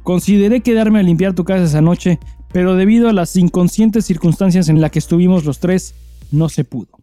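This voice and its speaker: A man's voice: high voice, a little fast, dark tone, no room sound, faint music behind. A man with a Mexican accent co-hosting a podcast, chatting and reading listener mail in a crude, slangy, joking way. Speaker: podcast co-host.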